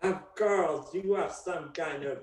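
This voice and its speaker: A man's voice: a little high, distant mic. A man playing a pompous French bachelor who hosts a dating game, speaking in a put-on French accent in an improv comedy scene. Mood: hammy. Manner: theatrical, drawn-out questions sprinkled with French. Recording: roomy sound, away from the mic.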